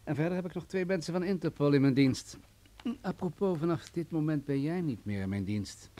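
A man's voice: a little high, expressive, brisk, mellow, lightly acted. A man playing a condescending, menacing crime boss. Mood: cool, commanding. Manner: condescending, mocking. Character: menacing, wealthy male crime boss, coolly sadistic. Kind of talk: vintage Dutch radio play acting.

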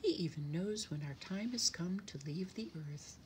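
Calm, wise mother-like dove played by a woman, cooing gently with a lilting storybook delivery. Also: fairly low pitch, normal speed, a little hiss or hum, natural voice. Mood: calm, teaching. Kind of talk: children's storybook character voice.

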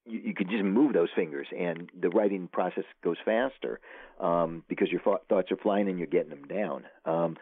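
A lowish-voiced man talks over a thin, phone-like line, dry and close. He rambles easily, stopping and restarting with lots of ums and "you know"s. It sounds like a relaxed guest chatting in an interview. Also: no room sound. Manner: rambling, many fillers and restarts. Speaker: author guest. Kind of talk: casual interview chat.

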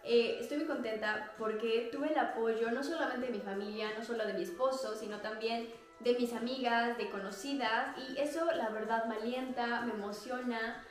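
A woman's voice: fairly high pitch, fairly even intonation, normal speed, soft background music. A young woman with a Mexican Spanish accent, chatting casually and gesturing as she reviews activewear for her vlog. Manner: bubbly, chatty, gestures a lot, laughs often. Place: small indoor room at home. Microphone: clip-on lapel mic. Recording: very echoey small room.

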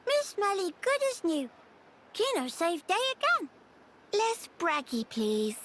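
A woman's voice: very high voice, huge pitch swings, slow, a bit bright, a little hiss or hum, heavily acted. A woman voicing a small, cute, cheeky creature in a video game, talking in childlike broken grammar.

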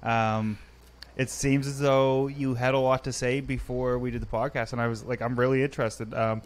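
A man in his thirties hosting a podcast in a studio full of aquariums, chatty and joking, a bit rambling, talking into a boom mic with headphones on. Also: little pitch movement, normal speed. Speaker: podcast host. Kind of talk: casual podcast chat. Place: home studio with aquariums, indoors.

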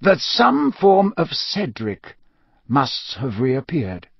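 A male narrator puts on an elderly wizard headmaster for a storybook reading. The voice is raised high, slow and measured, weary yet grave and wise. The recording is slightly dull and husky. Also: sing-song, no echo, exaggerated voice. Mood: solemn, measured. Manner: slow, measured, solemn delivery.

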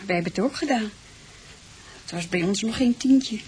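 A woman playing an earnest, troubled wife in a radio drama, speaking haltingly. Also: mid-range voice, darkish tone, slight background noise, close to an everyday voice.

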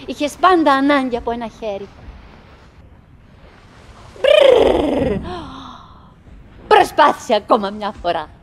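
A woman's voice: high voice, very animated, long pauses, very noisy. An actress playing a tender, wistful, chattering wife who coaxes her silent husband in declamatory stage style. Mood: coaxing. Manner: declamatory, coaxing, with dramatic swings. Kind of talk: theatrical stage acting. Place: theatre stage, live recording.

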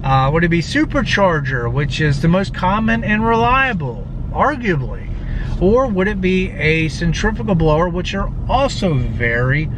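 A man's voice: high voice, big pitch swings, moderate pace. A man in his thirties chatting casually to a dashboard camera from inside his car as a car vlogger, rambling with lots of fillers and saying he is losing his voice. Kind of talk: casual talk to camera while driving. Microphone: camera mounted on the dashboard. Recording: dull distant car-cabin audio with loud road noise.

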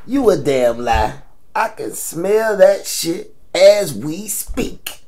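A man's voice: high voice, big pitch swings, takes it slowly, a little mellow, full character voice. A man playing a blunt, teasing old American grandfather in an audio drama, salty and slangy as he scolds. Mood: gruff teasing.

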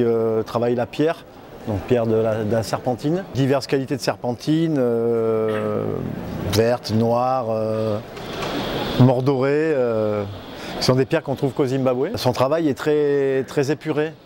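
A man describing an artist's work at an art fair in a measured, appreciative way. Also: mid-range voice, fairly even intonation, warm tone. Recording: noisy hall ambience.

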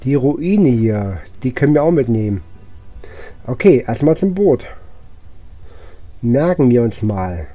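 A man's voice: medium pitch, sing-song, measured pace, pauses often, muffled recording, soft background music. A man who sounds a bit under the weather, giving casual let's play commentary in short, muttered fragments to himself. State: unwell, recovering from illness. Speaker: gaming YouTuber.

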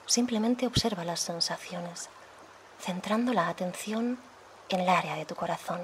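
A woman leading a guided meditation as a yoga guide, speaking softly and soothingly, unhurried, with pauses between phrases. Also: fairly low pitch, a little hiss or hum. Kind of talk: guided meditation, slow calm instruction.

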